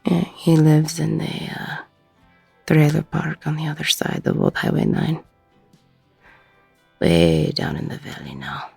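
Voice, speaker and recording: A woman playing a weary, gruff, middle-aged ex-con bartender, husky and breathy, blunt and self-deprecating, in an intimate audio roleplay. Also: sits low, sing-song, a little slow, long pauses, a little mellow, no room sound, soft background music, exaggerated voice. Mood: regretful, subdued.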